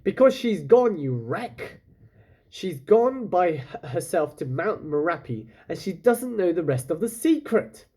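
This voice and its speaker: A man's voice: high voice, very animated, a little slow, dark tone, breathy edge, pitched above the performer's own voice, heavily acted. A man voicing a frantic, scolding old father in a children's storybook, anguished and urgent. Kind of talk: children's storybook character reading.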